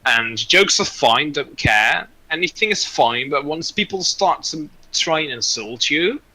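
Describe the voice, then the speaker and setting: A man's voice: a little high, expressive, a little slow, pushed and bright, low-quality recording. A man chatting casually on a stream in a blunt, rambling, sweary way. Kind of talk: casual chat.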